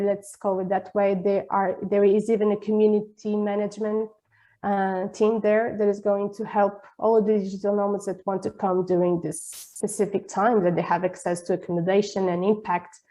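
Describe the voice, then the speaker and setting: Mellow woman giving an even-toned presentation. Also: mid-range voice, low-quality recording. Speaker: presenter of a digital nomad project. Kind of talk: webinar presentation.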